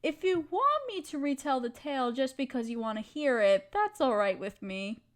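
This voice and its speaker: A woman's voice: high-pitched, big pitch swings, moderate pace. A woman playing an affectionate, playful young wife in an intimate roleplay audio, talking tenderly and teasingly to her partner. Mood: fond, teasing.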